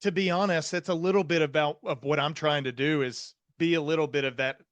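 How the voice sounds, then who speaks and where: A man's voice: fairly high pitch, steady tone, a little fast, fairly bright tone, no echo. A man hosting a conversational interview as a psychiatrist, talking in an earnest, explanatory way. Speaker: podcast host, psychiatrist.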